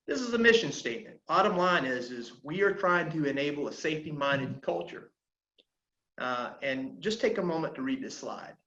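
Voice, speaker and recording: A man's voice: on the high side, bright, forceful tone. Earnest man presenting a webinar. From South Carolina. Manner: halting, many filler sounds, earnest. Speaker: flight instructor presenting a safety webinar. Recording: dull lo-fi audio in an echoey room.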